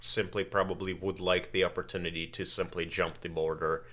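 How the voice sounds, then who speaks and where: A man from Ukraine, a podcast guest whose first languages are Russian and Ukrainian, talks in a measured, halting way with many fillers. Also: sits low, flat delivery, a bit bright. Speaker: interview guest. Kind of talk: casual podcast interview.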